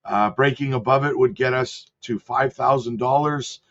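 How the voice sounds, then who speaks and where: A man with a cold, host of a channel, casually talking through market charts and hedging his calls, clearing his throat now and then. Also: medium pitch, fairly even intonation, normal speed, fairly bright tone. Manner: rattles off lists, chatty and hedging. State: sick with a cold. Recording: slightly dull lo-fi audio.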